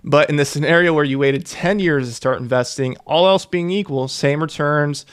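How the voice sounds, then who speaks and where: A man's voice: on the high side, moderate pace, smooth. A young man, a financial educator, earnestly walking viewers through investing figures and repeating key numbers for emphasis. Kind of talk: explainer to camera.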